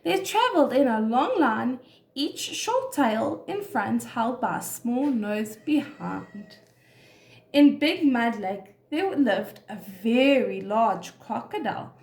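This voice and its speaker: A woman reads to young children as a nursery teacher. Her voice is high and very expressive, taking its time over each phrase, in an echoey room. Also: takes it slowly. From South Africa. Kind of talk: reading a children's story aloud.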